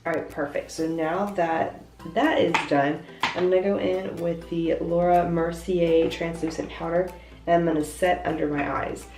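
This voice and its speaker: Congested young woman, low and gravelly. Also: fairly even intonation, moderate pace. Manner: chatty, self-deprecating, explains each step. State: congested, voice lower than usual. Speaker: beauty vlogger. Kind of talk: casual makeup tutorial. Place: hotel bathroom. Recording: echoey bathroom from off-mic, faint music.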